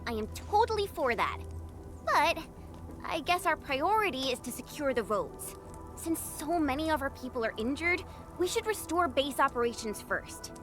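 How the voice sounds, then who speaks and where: Upbeat young female operative, high pitch, lively swings, casual game dialogue, background music. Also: moderate pace. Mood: upbeat, agreeable. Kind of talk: video game story dialogue. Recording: music behind the voice.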